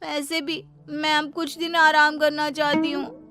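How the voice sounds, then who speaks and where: Woman doing a young put-upon daughter in law, high plaintive voice, shift+ in pitch, storybook style. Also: level tone, a little slow, over music.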